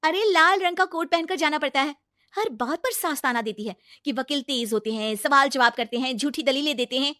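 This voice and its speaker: Young lawyer bride acted by a woman: very high voice, confident, exasperated yet polite, dry recording, comic story. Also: a little fast, bright, forceful tone, no echo.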